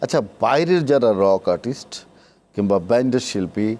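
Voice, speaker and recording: A man with a Bangladeshi accent and a dark, very mellow voice of medium pitch. He interviews conversationally as a host, prompting with questions and echoing back short phrases. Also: lively intonation, moderate pace.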